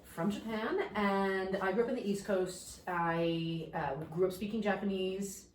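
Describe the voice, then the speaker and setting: A woman's voice: mid-range voice, measured pace, distant mic. A woman in her thirties from New York, a life coach, telling her story in a candid, reflective way. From New York suburbs. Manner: candid, reflective, animated at times. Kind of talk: personal storytelling in interview. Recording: echoey room, a bit off-mic, faint noise.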